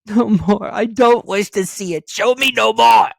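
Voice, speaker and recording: A man's voice: sits high, a little slow, gravelly, no room sound, pitched above the performer's own voice, heavily acted. A man playing a tormented, pleading old miser in a storybook reading, crying out in agitation. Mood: desperate.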